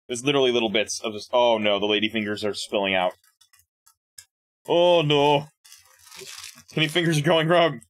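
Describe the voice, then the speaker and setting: A man streaming live, chatting casually with viewers, animated, laughing often and stumbling as he reads things aloud. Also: mid-range voice, normal speed, pauses often, no echo.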